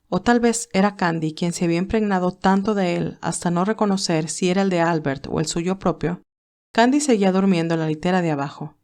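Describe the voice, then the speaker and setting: A woman's voice: lowish voice, a little mellow. A woman reading scripted story narration for an audiobook.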